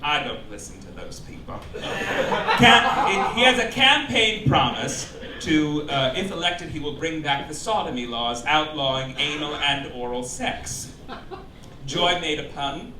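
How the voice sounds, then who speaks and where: A high-voiced man delivers a campy stand-up monologue full of mock-official pronouncements. His pitch swoops theatrically, and he is heard from a distance in a noisy live club. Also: animated, a little slow. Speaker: comedian, show host presiding as mock chairman. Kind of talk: stand-up comedy monologue read to a live audience. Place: cabaret club stage before a laughing audience. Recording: roomy live club, distant mic, loud noise.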